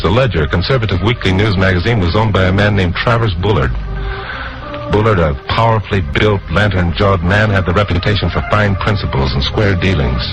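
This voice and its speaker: An actor as a very deep-voiced, bitter radio-drama hero. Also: male voice, close to an everyday voice, rough recording. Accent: American. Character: bitter, earnest blacklisted American broadcaster telling his story. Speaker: narrator and lead actor. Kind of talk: 1940s-50s American radio drama narration. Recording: old radio recording with loud organ music.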